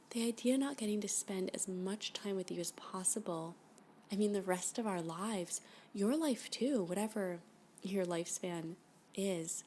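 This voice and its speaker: A woman's voice: medium pitch, a little mellow, lightly acted. A woman acting a flirty, clingy abductee, noisy recording. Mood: adoring, wistful. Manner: gushing, halting, coaxing, often dropping to a whisper. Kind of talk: ASMR roleplay acting. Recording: background noise.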